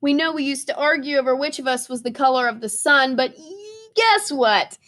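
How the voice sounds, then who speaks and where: A woman's voice: high-pitched, moderate pace, a bit bright, voice pushed up. A woman voicing a sheepish pair of melted storybook crayons, emphatic and plaintive. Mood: sheepish, pleading.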